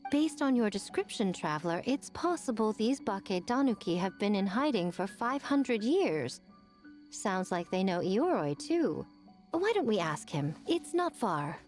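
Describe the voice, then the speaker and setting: A woman's voice: medium pitch, very animated, unhurried, a little mellow, soft background music. A woman voicing a poised, teasing fox-spirit lady in an anime game. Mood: composed.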